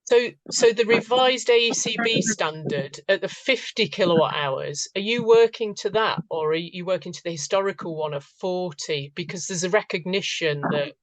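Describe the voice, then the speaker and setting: A woman hosting a webinar, asking brisk, probing questions over a video call. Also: lowish voice, no echo, low-quality recording. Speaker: webinar host.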